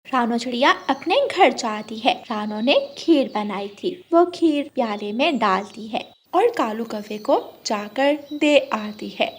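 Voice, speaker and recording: A woman's voice: on the high side, animated, a little slow, few pauses, husky. A woman reading scripted narration for a children's moral story.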